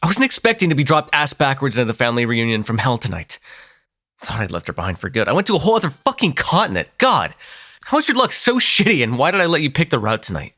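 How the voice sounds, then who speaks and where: A man playing a protective, sardonic male vampire in an ASMR audio roleplay, talking intimately and haltingly, with swearing and sighs. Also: mid-range voice, pushed and bright. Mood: agitated, exasperated.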